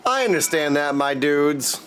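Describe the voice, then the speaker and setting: A man's voice: fairly high pitch, lively intonation, unhurried, fairly bright tone, a little hiss or hum. A man chatting casually and goofily with viewers in slangy language while livestreaming a game. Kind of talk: casual livestream chat over gameplay. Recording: music behind the voice. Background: game music.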